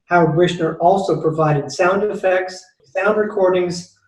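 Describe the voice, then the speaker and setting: A man's voice: high voice, a little slow. A man reading scripted cast credits as the announcer of an old-time radio show. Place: home, remote recording. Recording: echoey, distant mic, music behind. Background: music.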